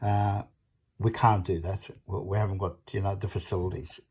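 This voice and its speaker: A man's voice: sits low, flat delivery, normal speed, darkish tone, slightly rough, dull audio. A man with an Australian accent giving a casual, unscripted product talk as a small business owner, rambling and blunt, often checking in with short tag questions. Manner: rambling, blunt, repeats tag questions like okay and all right.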